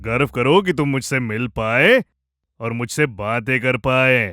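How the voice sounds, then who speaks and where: A man's voice: mid-range voice, lively intonation, a little slow, bright, forceful tone. A man voicing a vain, haughty horse in a children's cartoon, talking pompously and scornfully. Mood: boastful. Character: vain, haughty male horse claiming royal blood.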